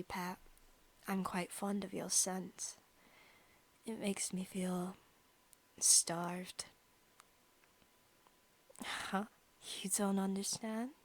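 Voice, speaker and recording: An obsessive, sadistic vampire woman , acted out by a female ASMR performer, murmurs at a very slow pace. Her soft, breathy voice crackles with vocal fry and slips into whispers. She is teasing and menacing, like a predator toying with a pet. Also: mid-range voice. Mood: menacing, lustful. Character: obsessive, sadistic centuries-old female vampire posing as student. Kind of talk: ASMR roleplay acting.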